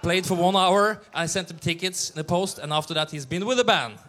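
A Norwegian man, an accordion player, chatting playfully on stage with hesitant, drawn-out fillers. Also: high voice, fairly bright tone. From Norway. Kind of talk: onstage interview banter.

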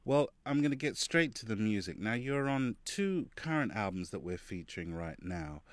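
Low-voiced male radio presenter. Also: animated, moderate pace, dry recording. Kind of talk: radio interview.

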